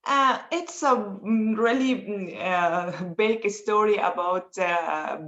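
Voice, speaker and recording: A woman's voice: medium pitch, slow, a bit bright. A woman with an Afghan accent, a native Persian speaker, answering interview questions hesitantly and searching for words in English. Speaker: interview guest, poet and women's rights advocate. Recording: roomy echo, dull lo-fi call audio.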